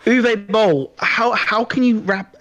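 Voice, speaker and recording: A man's voice: high voice, slow, a bit bright, lo-fi sound. Man in casual film podcast chat. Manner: rambling, repeats and restarts words. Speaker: podcast co-host discussing films.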